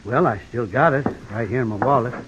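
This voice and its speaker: A man's voice: mid-range voice, a little fast, slight background noise, close to an everyday voice. A man playing a folksy, wary old homesteader in a western radio drama, with a plain, drawling rural Western American accent. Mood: rueful. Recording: dull vintage radio broadcast recording.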